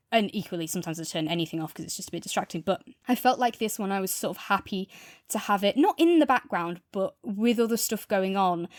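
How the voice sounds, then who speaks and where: Woman podcast host just chatting away casually, mid pitch, goes off on asides, really dry recording. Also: a little mellow, no room sound. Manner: chatty, informal, explains at length with asides. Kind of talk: casual chat, audio drama review.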